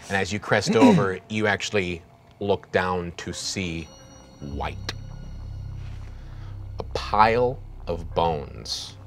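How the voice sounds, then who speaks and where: A man with a very deep voice narrates a tabletop horror game as its game master, calmly describing each scene. He drops into a hushed tone for the grim parts, with faint music underneath. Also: slow pace, long pauses.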